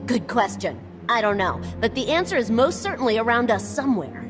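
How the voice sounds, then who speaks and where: Eager female researcher, excitable, inquisitive, highish animated voice, video game dialogue, music behind. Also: fairly quick. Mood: eager, impatient. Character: eager, curious, impatient female researcher explorer.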